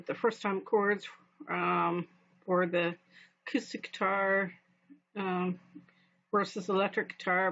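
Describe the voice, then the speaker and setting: A woman's voice: mid-range voice, fairly bright tone, lo-fi sound. A woman vlogging from her apartment, rambling casually with many fillers and restarts as she talks over a screen recording. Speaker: vlogger documenting guitar lessons. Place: home apartment.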